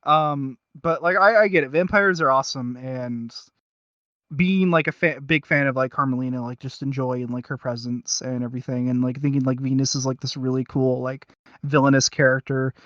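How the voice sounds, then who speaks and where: A man hosting a casual review chat, talking in a halting, rambling and self-deprecating way. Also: medium pitch, steady tone, a bit bright, no room sound, lo-fi sound. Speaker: podcast host.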